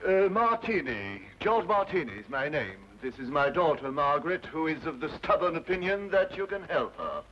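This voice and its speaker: A man's voice: fairly high pitch, sing-song, moderate pace, few pauses. A man playing a stern, suspicious middle-aged father with a blustery, grudging manner in an old radio drama. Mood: gruff, indignant. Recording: dull old radio recording, faint noise.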